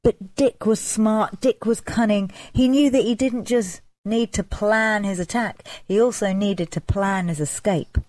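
Mellow-voiced woman telling a folk tale. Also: medium pitch, measured pace, hardly any pauses, no echo. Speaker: storyteller. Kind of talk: dramatic oral folk tale narration.

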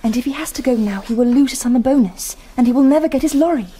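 A woman acting a shy English teenage girl in a radio drama. She is high-pitched, earnest and polite, with clear noise behind her. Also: fast, mellow, lightly acted. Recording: noticeable background noise.

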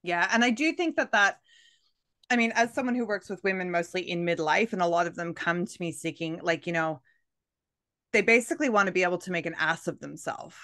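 A woman's voice: medium pitch, fairly bright tone, dry recording, clean studio sound. A woman in her thirties hosting a podcast interview on a video call from a room with bookshelves, speaking earnestly and with encouragement. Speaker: podcast host, coach for midlife women.

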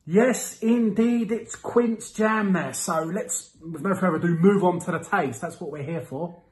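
A man in his thirties giving a casual product review to camera, with a playful, theatrical delivery and drawn-out words. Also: high-pitched, darkish tone. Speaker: host of a beer review series. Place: small indoor room with window blinds.

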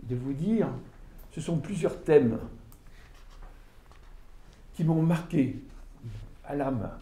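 An older man giving an informal talk about his book to an audience, with halting delivery, lots of fillers and restarts, recorded from a camera across the room. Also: a little high, expressive, mellow. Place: small room with audience, indoors. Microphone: far camera, no mic seen. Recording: echoey room from far off.

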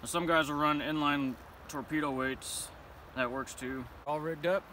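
A young man with a slightly high voice talks through his fishing setup in a relaxed, plainspoken way. He is standing a few feet back from the camera by a river, and steady outdoor noise runs underneath him. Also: normal speed, recorded from a distance. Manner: laid-back, plainspoken, slangy. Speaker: fishing vlogger. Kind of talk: casual how-to demonstration. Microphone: camera a few feet away.

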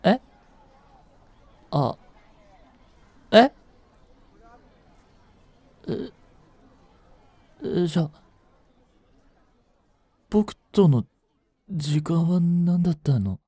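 An actor playing a young genius swindler in a romantic audio drama, lovestruck, jealous and boyishly flustered, speaking politely. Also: male voice, a little high, expressive, drawn-out words, pauses often, dark tone, husky, no room sound, clean studio sound, exaggerated voice. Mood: shocked, desperate. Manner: polite speech, stammering when flustered. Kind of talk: romantic audio drama acting, addressed to the listener.

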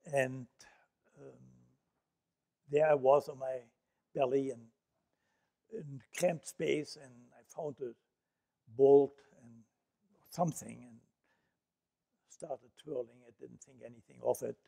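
An older man with a Dutch accent gives a reflective, storytelling lecture from an auditorium stage, pausing often on fillers. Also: medium pitch, fairly even intonation, creaky, mellow. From Netherlands. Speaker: Holocaust survivor speaker.

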